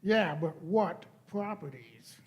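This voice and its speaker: A man's voice: high-pitched, slow. A man playing a cheeky, impatient young travelling companion in a radio play, colloquial and questioning. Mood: curious, pressing. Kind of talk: live staged radio play.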